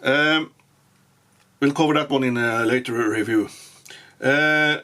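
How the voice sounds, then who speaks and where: Norwegian guy reviewing his pistol, mid-pitch voice, lots of uhs and restarts. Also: sharp tone. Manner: halting, many fillers and restarts. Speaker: gun reviewer. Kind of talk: casual product review.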